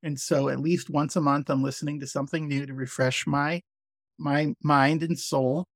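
Higher-voiced man hosting a podcast. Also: fairly even intonation, normal speed, no room sound, studio quality. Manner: friendly, restarts often, chatty. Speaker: podcast host. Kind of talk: conversational podcast interview.

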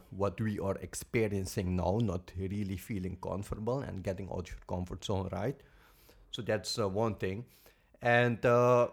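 A man's voice: lowish voice, a little mellow. A Dutch man co-hosting a podcast in English, explaining ideas earnestly and leaning often on "basically". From Netherlands. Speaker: podcast co-host. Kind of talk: casual podcast talk.

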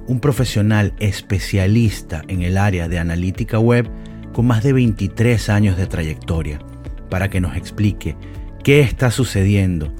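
A man's voice: fairly low pitch, a little mellow. A man hosting a podcast, reading an introduction and then interviewing his guest politely, with frequent hesitant fillers. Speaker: podcast host.

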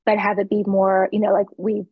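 A woman's voice: medium pitch, monotone, a little fast, husky, dry recording, phone-quality sound. A woman from the East Coast who owns a flower shop, talking candidly in a casual interview with fillers and laughs. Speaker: florist and shop owner.

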